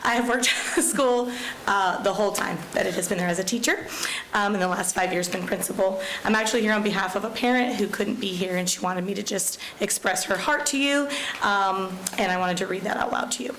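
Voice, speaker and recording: Loud woman principal at a public meeting mic, big echoey room, bit of hum. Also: medium pitch, a little fast. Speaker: school principal speaking at public comment. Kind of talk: public comment, partly reading a letter aloud. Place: county commission chamber. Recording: echoey chamber with faint hum.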